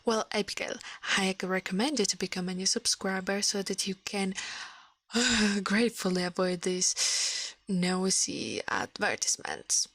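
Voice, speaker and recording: A woman with an Italian accent speaking softly in an ASMR livestream, often whispering, close to the microphone. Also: medium pitch, expressive, a little slow, no room sound. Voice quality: soft, breathy, often whispered. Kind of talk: ASMR, soft-spoken and whispered storytelling and chat.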